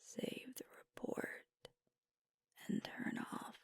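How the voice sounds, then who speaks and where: A woman playing a sweet, protective, gently firm werewolf girlfriend in an ASMR roleplay, soothing and coaxing in a breathy whisper. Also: no echo, natural voice. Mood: tender, soothing. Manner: soothing, coaxing, reassuring, deliberate pauses.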